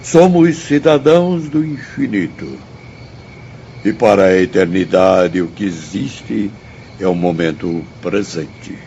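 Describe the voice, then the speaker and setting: An actor with a Brazilian accent playing a learned, courteous spirit teacher in a radio drama, speaking in a formal, measured, didactic way. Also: male voice, low-pitched, mellow. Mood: solemn, didactic. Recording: dull audio, faint noise, night crickets.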